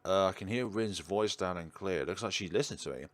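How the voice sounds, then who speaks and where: A man's voice: sits low, brisk, no echo. A man doing let's play commentary, reading visual novel text aloud. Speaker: gaming YouTuber. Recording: game music behind the voice. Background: video game music.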